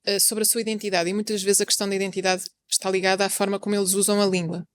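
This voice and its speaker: A woman talks quickly and earnestly as a guest on a conversation show. Her pitch sits in the middle range, and she fills pauses with thinking sounds as she builds her point. She keeps the easy tone of a casual panel chat. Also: little pitch movement, smooth. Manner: fast, earnest, thinks aloud with many fillers. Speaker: journalist and association co-founder, guest.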